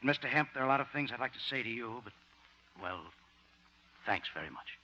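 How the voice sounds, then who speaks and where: A man's voice: mid-range voice, lively intonation, fast talker, pushed and bright. A stiff, grateful father. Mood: earnest. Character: reserved, grateful well-to-do father. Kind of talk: 1950 radio sitcom acting. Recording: muffled 1950 radio broadcast.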